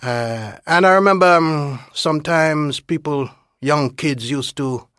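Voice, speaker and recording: Higher-voiced man, halting and animated. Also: smooth, dry recording, studio quality. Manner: halting, repeats words, animated when describing. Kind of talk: unscripted personal account.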